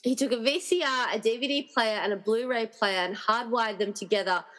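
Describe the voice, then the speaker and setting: A woman's voice: on the high side, a little fast, fairly bright tone, lightly acted. A woman playing an earnest, determined young heroine who questions things, in an amateur radio play. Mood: earnest, questioning. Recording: reverberant, hall-like room sound.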